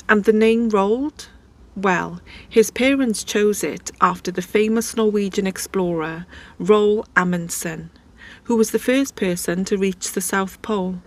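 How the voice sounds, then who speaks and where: Chatty woman telling a story. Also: mid-range voice. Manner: chatty, conversational, with asides. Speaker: host. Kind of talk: casual storytelling narration.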